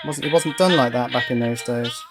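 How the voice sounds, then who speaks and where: A man's voice: medium pitch, a little fast, over music. A man giving unscripted teardown commentary as a hobbyist presenter, thinking aloud with dry, understated humour.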